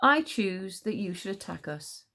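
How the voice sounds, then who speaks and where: A wry enchanter king from a storybook, read by a woman. He is composed and sardonic, musing calmly and unhurried by threats. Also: medium pitch, heavily acted. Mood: calm defiance.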